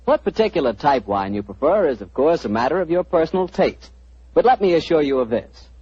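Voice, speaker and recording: Breezy radio announcer, 1940s. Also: male voice, a little high, big pitch swings, fairly quick, a little mellow, husky, dry recording. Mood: selling. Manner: breezy, salesy, American. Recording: dull old radio broadcast with faint music.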